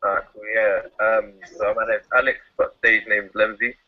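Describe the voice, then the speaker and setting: A man's voice: lowish voice, level tone, moderate pace, dull audio. A man from London, a guest hip hop artist introducing himself casually, easygoing and hesitant with many fillers. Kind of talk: casual chat.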